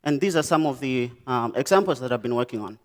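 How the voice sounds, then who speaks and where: A young man from Malawi with a Malawian accent, giving a conference talk about his design work, pausing often with "um" and "uh". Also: mid-range voice, expressive. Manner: halting, many "um" and "uh" pauses and restarts. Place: lecture stage.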